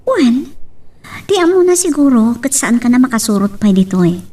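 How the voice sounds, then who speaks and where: A woman with an Ilocano accent playing a gentle young sweetheart in a radio drama. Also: fairly high pitch, very animated, moderate pace, far from the mic. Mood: hesitant.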